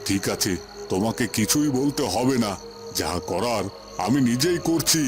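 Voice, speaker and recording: A man's voice: mid-range voice, lively intonation, moderate pace, lightly acted. A man playing a stern, righteous village headman and family patriarch in a cartoon, speaking in a scolding, authoritative way. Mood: stern, demanding. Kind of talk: dramatic cartoon voice acting. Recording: music behind the voice.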